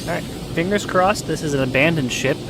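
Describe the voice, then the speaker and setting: A man's voice: fairly high pitch, brisk, very noisy. A young man chatting casually while streaming a game, rambling with lots of fillers and joking about food and drinking. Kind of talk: casual gaming stream chat.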